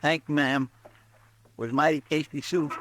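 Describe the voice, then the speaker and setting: An actor playing a talkative, fretful frontier sidekick in an old radio drama, with a drawling rustic way of talking. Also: male voice, a little high, fairly even intonation, a little slow, a bit bright, a little hiss or hum. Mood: folksy. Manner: drawling, rustic country talk. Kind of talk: 1950s radio drama acting.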